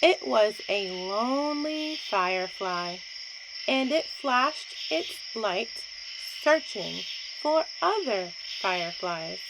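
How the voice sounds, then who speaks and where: A woman reads a bedtime story aloud at a very slow, deliberate pace. Her pitch rises and falls in a lively way, and she leaves pauses between short phrases. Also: noisy background. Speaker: children's story reader.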